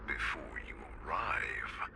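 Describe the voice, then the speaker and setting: An actor playing a menacing, bloodthirsty wolfish warlord in a video game, sly and theatrical, with a breathy growl. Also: male voice, noisy background, close to an everyday voice. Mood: menacing, grandiose. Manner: slow, theatrical, mocking. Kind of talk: dramatic game villain acting. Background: prominent game music.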